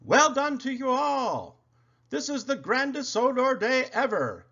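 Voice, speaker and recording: Man putting on a grand, high-pitched proclaiming gentleman. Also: sing-song, a little slow, husky, heavily acted. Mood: jubilant, beaming. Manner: proclaiming, pompous. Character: grand, jubilant gentleman railway boss in a storybook. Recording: dull, lo-fi home recording.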